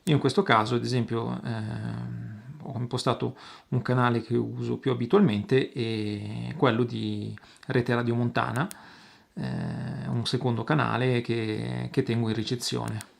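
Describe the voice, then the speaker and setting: A man hosting an outdoor gear review, explaining casually to viewers with halting pauses and repeated words. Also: medium pitch, little pitch movement, creaky. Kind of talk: casual product demonstration to viewers.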